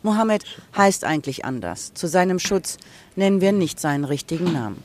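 A reporter calmly narrating a documentary news piece. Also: mid-range voice, normal speed.

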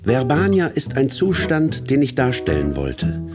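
An actor playing a narrator in a radio play, speaking in a deliberate, literary manner. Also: male voice, medium pitch, wildly swooping pitch. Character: measured male narrator, correcting and introducing a rogue writer. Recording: studio radio play with guitar music.

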